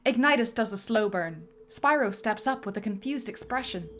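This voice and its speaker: A woman's voice: on the high side, lively intonation, normal speed, fairly bright tone, slight background noise. A woman narrating script directions in a dramatic reading, building up to the action beats, with music underneath.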